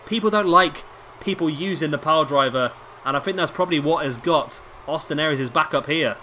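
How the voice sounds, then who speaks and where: Male gaming commentator, slightly high-pitched but hoarse, casual play-by-play, over clear background noise. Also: brisk, pushed and bright. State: hoarse with a sore throat. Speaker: gaming video host and commentator. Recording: clearly noisy home recording.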